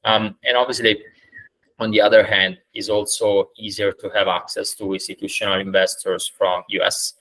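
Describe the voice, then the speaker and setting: A man with an Italian accent speaks in a low, even voice with a bright, forward edge. He answers at a measured pace, scattering ums and uhs, heard through a video-call webcam mic. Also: poor audio. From Italy. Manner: measured, many "uh" and "um" fillers. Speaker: startup founder, guest. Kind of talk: conversational interview answers. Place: indoors, plain room.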